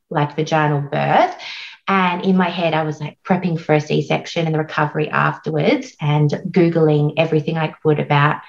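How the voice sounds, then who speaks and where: An Australian woman in her thirties talks openly and chattily, full of "like" and "um". Her voice sits fairly low, and the recording sounds a bit dull and lo-fi. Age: 30s. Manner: warm, chatty, frequent "like" and "um". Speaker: guest, postpartum doula. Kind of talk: casual interview storytelling.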